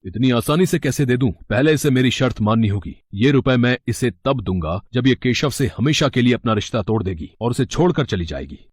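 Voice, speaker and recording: A man's voice: medium pitch, expressive, brisk, few pauses, husky, no echo, studio quality. A man voicing a cold, scornful rich father. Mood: stern. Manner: harsh and scornful. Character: stern rich old father, cold and proud. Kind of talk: animated storybook voice acting.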